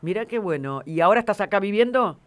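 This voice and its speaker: Smooth-voiced Rioplatense woman radio host. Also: mid-range voice, animated. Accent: Rioplatense Spanish. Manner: chatty and curious, quick to agree. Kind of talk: casual radio interview.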